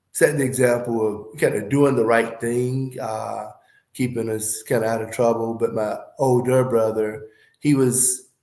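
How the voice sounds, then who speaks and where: Brief: Southern man in his fifties, interview guest, easygoing and filler-heavy, mid pitch, roomy echo. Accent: Southern US with Midwestern speech. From Alabama, USA. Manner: relaxed, frequent "you know" fillers. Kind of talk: casual interview talk.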